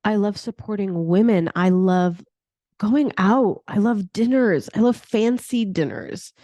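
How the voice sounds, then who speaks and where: A woman in her thirties talks straight into a close mic in a dry, deadened room, at a middle pitch. She chats casually as a podcast host, sprinkling in "like" and breaking into laughter. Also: a little slow, mellow, dry recording. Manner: chatty, laughs often, fillers like "like". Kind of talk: casual solo podcast talk.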